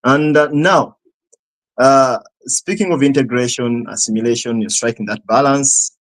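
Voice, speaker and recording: A man's voice: medium pitch, unhurried, low-quality recording. A male host leads a panel interview with long, winding questions. He hesitates often, restarting his sentences as he talks. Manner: hesitant, many fillers and restarts, rambling questions.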